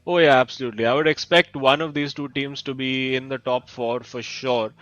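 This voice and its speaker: A man's voice: mid-range voice, fairly even intonation, brisk, a bit bright, dry recording. Male esports analyst, dry dull sound. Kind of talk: live pre-match analysis.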